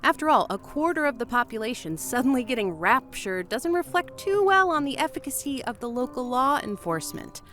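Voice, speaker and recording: A nervous woman investigator in a horror audio drama. Her voice sits fairly high, and she tells her story haltingly, with dry, sarcastic phrasing. Music plays clearly behind her. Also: big pitch swings, a bit bright. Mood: sardonic storytelling. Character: frightened American woman investigator, forced to tell her story.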